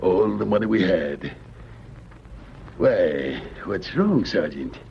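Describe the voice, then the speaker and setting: A man's voice: sits low, sing-song, slow pace, creaky, heavily acted. A man acting a folksy, hopeful gold prospector in an old-time radio drama, with a hesitant, homespun drawl. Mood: worried, defensive. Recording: muffled, noisy old-time radio recording.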